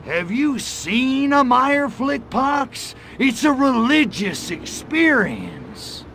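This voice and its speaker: A man playing a cocky, crude, trigger-happy alien invader in a video game, with a gravelly voice and a sarcastic drawl. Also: extremely high pitch, sing-song, takes it slowly, bright, forceful tone, slight background noise, exaggerated voice. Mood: cocky, joking. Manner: sarcastic, smart-alecky drawl. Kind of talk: video game cutscene acting.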